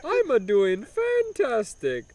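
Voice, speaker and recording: A man voicing a whiny cartoonish plumber character in a put-on broken Italian accent, drawn-out and shrieking when upset. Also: very high-pitched, huge pitch swings, a little mellow, slight background noise, voice pushed up, full character voice. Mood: chirpy. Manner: theatrical, complaining, shrieking at peaks.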